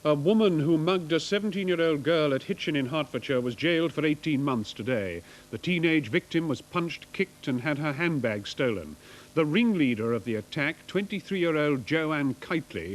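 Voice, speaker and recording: A man's voice: fairly high pitch, expressive, normal speed, low-quality recording. A British man reading scripted news as a newscaster in a formal manner. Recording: 1980s broadcast with faint noise.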